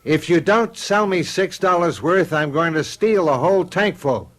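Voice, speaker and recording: An actor playing an uneasy, wry husband in a radio drama, casual on the surface and a bit hesitant. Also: male voice, a little high, moderate pace, natural voice. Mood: calling out. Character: uneasy, wry husband, privately afraid of touching people. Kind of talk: old-fashioned radio drama acting. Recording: noisy vintage radio drama tape.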